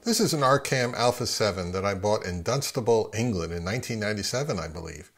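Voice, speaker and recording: A man's voice: fairly low pitch, lively intonation, fast talker, a bit bright, faint music behind. A middle-aged man, narrating a product review of audio gear.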